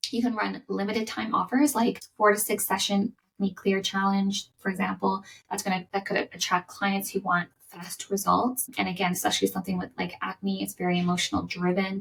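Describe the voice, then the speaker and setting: A woman hosting a solo business podcast, giving conversational coaching advice with a slightly raspy voice from coming down sick. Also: mid-range voice, level tone, moderate pace, recorded from a distance, rough recording. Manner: conversational, repeats words and restarts, upbeat coaching.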